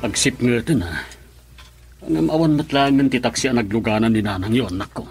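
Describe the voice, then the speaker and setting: A man's voice: mid-range voice, a little fast, over music, close to an everyday voice. An actor with a Filipino accent playing a wounded, betrayed middle-aged husband and father in a radio drama, volatile and reproachful. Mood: expectant. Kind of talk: Ilocano radio drama acting.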